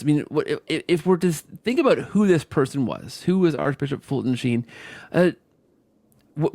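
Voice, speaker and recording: A man's voice: mid-range voice, darkish tone, no echo. A man hosting a conversational podcast interview, effusive and wordy, often rephrasing himself mid-thought. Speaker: podcast host.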